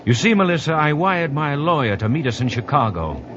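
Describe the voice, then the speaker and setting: An actor playing a bitter, suspicious young husband, nervous and coldly resigned, in an old-time radio drama. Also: male voice, very animated, brisk. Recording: noisy muffled old radio recording, rumbling background.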